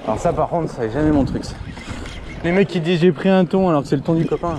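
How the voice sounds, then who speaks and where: A man's voice: fairly high pitch, fast talker. A man chatting casually and colloquially, with some swearing, while out fishing on a boat. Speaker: angler on a fishing trip. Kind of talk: casual chat while fighting a fish. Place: outdoors on a boat at sea. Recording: windy boat noise. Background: boat, wind and water noise.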